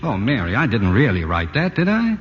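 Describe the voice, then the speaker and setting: An actor playing a harried, middle-aged city ad man, brisk and exasperated, in 1940s radio acting. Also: mid-range voice, huge pitch swings, a little hiss or hum. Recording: dull old radio broadcast with music.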